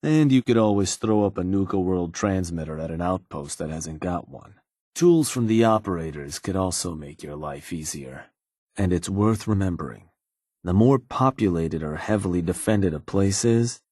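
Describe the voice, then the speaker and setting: A cynical gang advisor from a video game, speaks in a very deep, husky voice. He is laid-back and slangy, with sly, folksy menace as he addresses his boss. The sound is close and dry. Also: expressive, normal speed, dark tone, no room sound. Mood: businesslike, encouraging. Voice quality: husky, low. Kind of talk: video game character dialogue.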